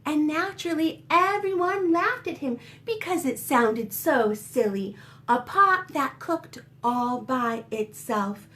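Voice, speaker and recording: A woman reading a picture book aloud to young children as a librarian, expressive and gently addressing her listeners with dramatic gasps and whispered asides. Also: high voice, slow.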